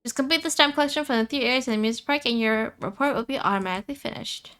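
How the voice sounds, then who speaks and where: A woman's voice: fairly high pitch, very fast, fairly bright tone, lightly acted. A woman voicing a small, cheerful creature conductor from a video game, making explanatory announcements. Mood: cheerful, announcing. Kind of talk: video game dialogue read aloud.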